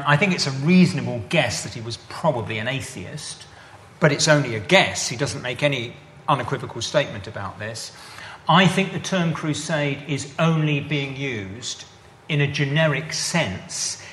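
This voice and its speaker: A man's voice: medium pitch, a bit bright, a little hiss or hum. A man answering audience questions after a talk, thoughtful and hesitant, often repeating words as he searches for them. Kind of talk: lecture Q&A.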